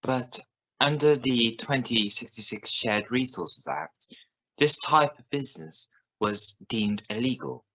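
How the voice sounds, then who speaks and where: Measured, serious radio news anchor, played by a man. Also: medium pitch, lively intonation, sharp tone, full character voice, low-quality recording. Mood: probing, serious. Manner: formal, measured, probing. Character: serious, probing male evening news reporter of the future. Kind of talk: scripted radio news broadcast in a drama.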